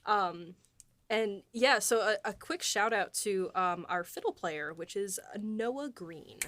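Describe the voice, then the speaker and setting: A woman's voice: mid-range voice, expressive, slow, a bit bright, no room sound. A woman co-hosting a podcast, chatting with enthusiasm and frequent restarts. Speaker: podcast co-host and producer. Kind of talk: casual chat.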